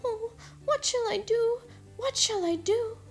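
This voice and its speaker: A woman's voice: very high-pitched, slow, faint music behind, pitched above the performer's own voice. A woman voicing a small fretful lizard who pleads in a tearful, whiny way.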